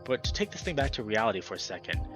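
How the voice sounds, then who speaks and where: A man's voice: fairly low pitch, big pitch swings, rapid-fire, music in the background. A man voicing a frank, thoughtful young anthropomorphic furry woman from a visual novel, read in a blunt, matter-of-fact way. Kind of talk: reading game dialogue aloud.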